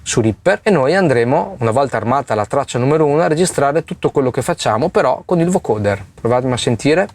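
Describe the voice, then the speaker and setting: A man hosting an informal step-by-step music software tutorial, explaining things and often checking in with short tags. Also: mid-range voice, expressive, fast talker, few pauses. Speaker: host of a music production tutorial channel.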